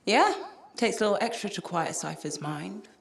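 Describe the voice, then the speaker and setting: A woman's voice: mid-range voice, lively intonation, normal speed, vocal fry. An actress playing a thoughtful, self-doubting woman who talks in a rambling, wistful way in a game cutscene. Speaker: cypher ally. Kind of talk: video game cutscene acting.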